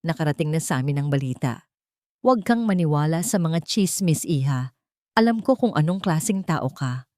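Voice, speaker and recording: A woman's voice: deep voice, lively intonation, normal speed, mellow, dry recording, studio quality. A woman voicing a kindly, worried elderly aunt from the province in an audio story, earnest and concerned. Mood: worried, reassuring.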